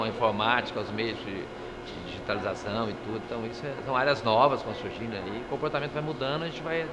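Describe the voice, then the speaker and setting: A man, a psychologist, explaining his field in a fast, explanatory way in a street interview, ending points with tag questions. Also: mid-range voice, noisy background. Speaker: psychologist at a congress. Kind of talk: vox-pop interview.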